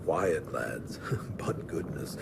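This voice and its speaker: An actor playing a kindly, folksy frontier preacher in a game cutscene, speaking in a measured, wry way. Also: male voice, rumbling low, animated, vocal fry, background noise, heavily acted. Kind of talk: video game cutscene acting.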